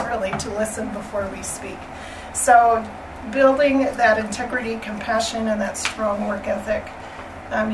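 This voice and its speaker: Woman, hoarse, slightly high voice, chatty presenter, distant mic, noisy room. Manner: friendly, chatty, frequent ums and throat clearing. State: losing her voice, hoarse. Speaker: elementary school staff presenter. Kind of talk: slide presentation at a school board meeting. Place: school board meeting room.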